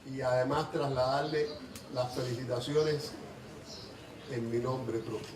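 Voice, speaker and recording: A man's voice: medium pitch, fairly even intonation, normal speed, over a noisy crowd. Cuban man, distant in an echoey, noisy space. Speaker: ambassador. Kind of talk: short formal greeting speech. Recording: echoey, distant mic, loud noise.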